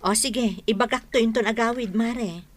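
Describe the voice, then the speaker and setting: A woman's voice: fairly high pitch, moderate pace, lightly acted. An actress with a Filipino accent playing an emotional, pleading wife and mother in a radio drama. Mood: chatty. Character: anguished wife and mother of a jailed husband.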